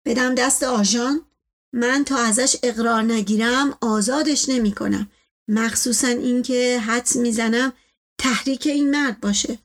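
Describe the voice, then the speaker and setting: A woman's voice: a little high, steady tone, natural voice. Woman playing a stern, pompous older patriarch. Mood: angry, accusing. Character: imperious, suspicious, proud older male family patriarch.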